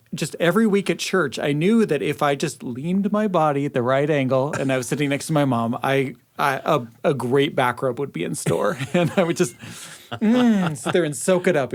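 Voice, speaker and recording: A man, a radio producer, chatting casually in a studio about personal experiences. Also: on the high side. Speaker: radio show producer and panelist.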